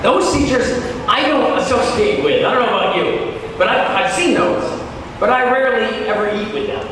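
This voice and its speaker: High-pitched man speaking in a very echoey hall. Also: a bit bright. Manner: emphatic, dramatic, drawn-out key words. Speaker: keynote speaker, teacher. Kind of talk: motivational keynote speech to teachers. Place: large hall at a teachers' conference. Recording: very echoey hall, distant, noisy.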